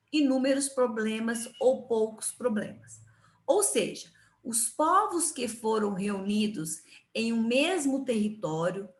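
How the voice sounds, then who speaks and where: A woman with a Brazilian Portuguese accent teaching a history lesson to children, explaining slowly and patiently. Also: fairly high pitch, fairly bright tone, echoey room. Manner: slow, patient classroom explaining.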